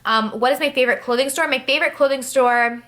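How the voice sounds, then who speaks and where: A woman's voice: high-pitched, fast talker, fairly bright tone. A young woman in her twenties from New Jersey, chatting casually to camera in a Q&A, rambling with lots of "like" and "um". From New Jersey, USA. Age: 20s. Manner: chatty, rambling, many fillers and "like". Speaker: beauty vlogger.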